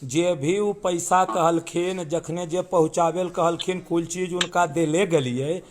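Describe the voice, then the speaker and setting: A man's voice: on the high side, steady tone, a little slow, noisy background. A man with a Bihari accent in a recorded phone call, arguing in an insistent, aggrieved way. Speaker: caller in a leaked phone call. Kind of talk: heated phone argument in dialect.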